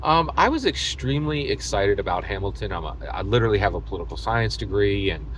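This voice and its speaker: An American man hosting a casual, opinionated gaming monologue, speaking emphatically and repetitively, often halting mid-thought and leaning on hyperbole. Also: lowish voice, very animated, a little hiss or hum, rough recording. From United States. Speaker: host of a tabletop gaming video channel.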